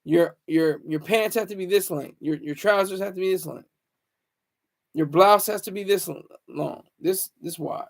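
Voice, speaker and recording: A man with a distinctly high-pitched voice hosts a casual live chat. He rambles along, answering viewers and restating his phrases over and over to drive them home. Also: normal speed, creaky. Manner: rambling, repeats phrases for emphasis. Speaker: livestream host.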